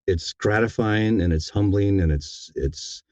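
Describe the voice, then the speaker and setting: Very deep, mellow-voiced man. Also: no echo, dull audio. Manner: halting, reflective, sincere. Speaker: guest, audiobook narrator. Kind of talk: casual interview conversation.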